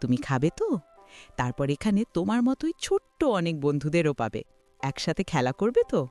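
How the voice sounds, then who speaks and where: A woman's voice: sits low, sing-song, moderate pace, mellow, no room sound, faint music behind. A woman voicing a kindly village auntie coaxing a small child in a cartoon.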